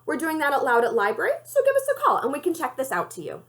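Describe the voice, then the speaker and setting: A woman reads a children's novel aloud as a librarian would for young listeners. Her voice is on the high side and very animated in pitch. The delivery is expressive storytelling narration. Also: fast.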